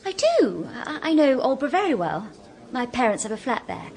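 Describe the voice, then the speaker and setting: A woman's voice: high-pitched, very animated, moderate pace, a little mellow. An English actress in her twenties voicing an eager, hesitant young woman lawyer who stammers in a radio drama. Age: 20s.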